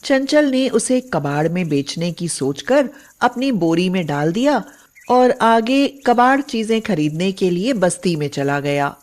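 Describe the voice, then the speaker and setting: A woman narrating a scripted children's moral story in an expressive, dramatic way. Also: lowish voice.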